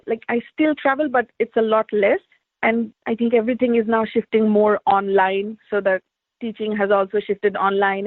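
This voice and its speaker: Woman from India, podcast guest, reflective storyteller, higher voice, tinny dry call audio. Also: no echo. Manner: reflective, storytelling, frequent "like" fillers. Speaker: artist and teacher, podcast guest. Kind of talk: conversational interview answers. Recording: tinny phone-like line, dry.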